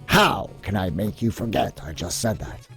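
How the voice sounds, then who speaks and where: A man's voice: low-pitched, very animated, moderate pace, over music, voice pushed down. A man playing an eager, wry toy-soldier field agent with chummy patter in an amateur comic dub. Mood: wry, businesslike.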